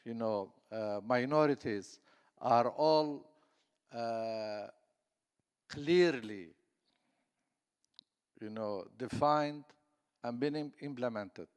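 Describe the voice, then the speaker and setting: A man's voice: mid-range voice, very slow, pauses often, clear, resonant tone. A man from southeast Turkey, speaking on a conference panel with many fillers and restarts. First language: Kurdish. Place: conference hall. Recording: echoey conference hall.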